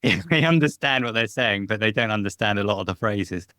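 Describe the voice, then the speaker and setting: A middle-aged man from Britain tells a family story in a relaxed, chatty way, laughing now and then. His voice sits on the low side and sounds close and dry, with no room around it. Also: brisk, dry recording. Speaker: podcast guest. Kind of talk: casual interview storytelling. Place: home, lives in Spain.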